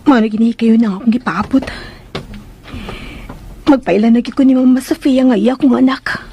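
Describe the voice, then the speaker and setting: An actress playing a timid young maid with a secret, speaking hesitantly and faltering in a radio drama. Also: female voice, a little high, steady tone, moderate pace, warm tone, slight background noise, natural voice. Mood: anxious resolve.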